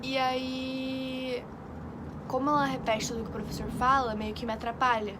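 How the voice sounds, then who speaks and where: Young woman, from Brazil, high-pitched, chatty, rambling, noisy recording. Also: level tone, moderate pace. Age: young adult. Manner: chatty, rambling storytelling with many fillers. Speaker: exchange student vlogger. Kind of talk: casual chat. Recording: noisy background.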